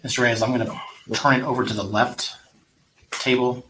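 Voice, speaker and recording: Male judge, mid-pitched forceful voice, procedural courtroom talk, faint noise in the audio. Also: fairly even intonation, normal speed. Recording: slightly noisy courtroom audio.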